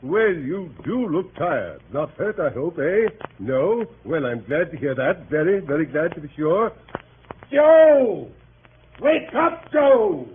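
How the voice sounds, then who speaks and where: An actor in 1930s radio style playing a hearty, blustering middle-aged country gentleman host, genial and excitable. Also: on the high side, slow pace, a little hiss or hum, full character voice. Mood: exasperated shouting. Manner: blustery, exclamatory, jovial. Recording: muffled 1938 radio broadcast, music behind.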